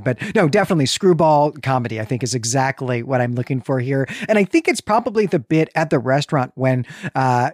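A man's voice: medium pitch, no echo, clean studio sound. A man co-hosting a casual literary discussion podcast, chatty and readily amused. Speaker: podcast co-host.

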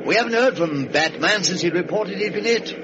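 An actor playing a polite, worried, loyal butler in 1940s radio style. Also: male voice, high-pitched, lively intonation, brisk. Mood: anxious, polite. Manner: polite, proper, deferential. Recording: muffled old radio recording, vehicle noise.

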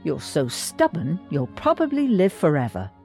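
An actress playing a gentle, steady wife in a sci-fi audio drama, speaking softly and affectionately. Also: female voice, low-pitched, moderate pace, soft background music, exaggerated voice. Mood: tender, reassuring.

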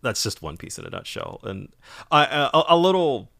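A man co-hosting a casual podcast, enthusiastic and quick to laugh. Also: medium pitch, big pitch swings, gravelly, fairly bright tone. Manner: enthusiastic, laughs often, does goofy quote voices. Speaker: podcast co-host.

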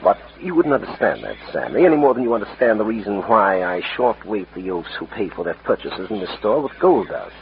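An actor playing a smug, cold-blooded outlaw who poses as a cultured French gentleman, suave and pompous, in an old radio western. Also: lowish voice, sing-song, a little fast, vocal fry, hardly any pauses. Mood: smug, gloating. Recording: muffled, hissy old radio recording.

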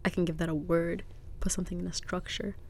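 A woman's voice: lowish voice, level tone, quick pace, darkish tone, a little hiss or hum. A young woman whose first language is Arabic, chatting playfully and laughing easily as a student co-host. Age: young adult. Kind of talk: casual chat.

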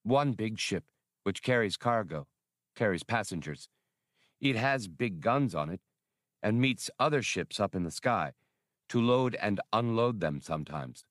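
Man voicing a solemn alien rebel creature, low-pitched. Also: expressive, measured pace, no room sound, clean studio sound. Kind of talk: audiobook character voice.

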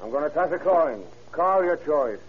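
An actor playing a tough, commanding man in an old-time radio crime drama, gruffly giving orders. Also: a little high, lively intonation, normal speed, lightly acted. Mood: threatening. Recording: noisy, muffled vintage radio recording.

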